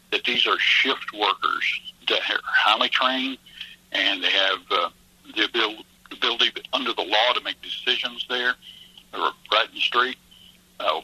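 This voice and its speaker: Earnest man on a bright phone line. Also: fairly low pitch, breathy edge. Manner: earnest, emphatic, persuasive. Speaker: police association guest. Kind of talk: phone-in radio interview.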